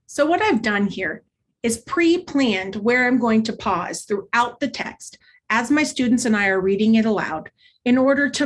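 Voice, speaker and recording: A woman instructor giving an instructional presentation, explaining in a clear, structured way with short measured phrases. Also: fairly high pitch, slight background noise. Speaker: literacy instructor. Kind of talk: instructional presentation with modeled read-aloud.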